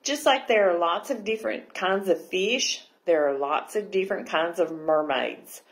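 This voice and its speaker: A woman's voice: lowish voice, moderate pace, close to an everyday voice. A woman voicing a tiny, polite young mermaid with a prim, precise manner in a children's storybook. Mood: shy, proud. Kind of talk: children's picture book read-aloud.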